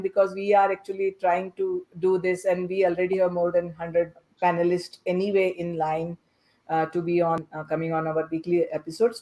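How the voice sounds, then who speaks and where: A woman hosting a podcast panel in a conversational way, speaking haltingly and repeating phrases. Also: mid-range voice, a little mellow. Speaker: podcast host moderating a panel.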